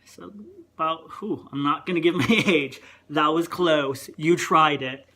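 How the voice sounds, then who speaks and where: A young man with a higher voice that gets mistaken for a woman's, rambling through a casual rant and interrupting himself. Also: a little slow, sharp tone, a little hiss or hum. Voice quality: higher voice, sometimes heard as female. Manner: rambling, chatty, self-interrupting. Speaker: vlogger.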